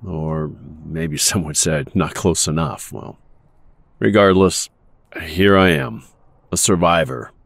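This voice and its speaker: A man playing a stoic, wounded veteran inventor, speaking in a measured, earnest way in an audio drama. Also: low voice, gravelly, no room sound, studio quality, full character voice. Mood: somber, hopeful.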